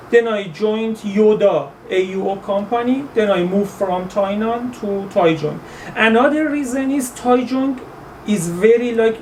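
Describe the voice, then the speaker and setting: Halting Iranian-accented man, distant mic. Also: high-pitched, a little mellow. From Iran. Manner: halting, searching for words with many fillers and restarts. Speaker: interview guest. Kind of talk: casual interview in English. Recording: distant mic with faint background noise.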